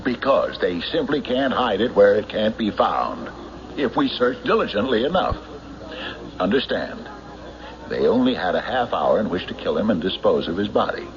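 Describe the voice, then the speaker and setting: An actor playing a stubborn small-town county sheriff in a 1970s radio drama, speaking in an emphatic, insistent, deliberate way. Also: male voice, on the low side, sing-song, crowd noise. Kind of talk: 1970s radio drama acting, logbook narration and dialogue. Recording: dull 1970s radio recording, music behind.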